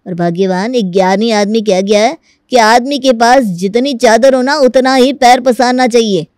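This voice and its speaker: A woman voicing a bold, scheming young family man in a Hindi animated story, exasperated and persuasive in a colloquial way. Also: a little high, normal speed, no room sound, studio quality, lightly acted. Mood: exasperated, sarcastic.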